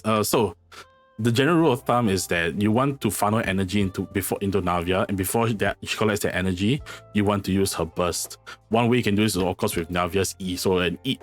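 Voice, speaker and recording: A fairly low-pitched man with a slightly hoarse voice, talking informally through a game guide. He rambles and corrects himself often. Faint music plays behind him. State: losing his voice, slightly hoarse. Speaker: gaming channel host. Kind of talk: casual game guide commentary.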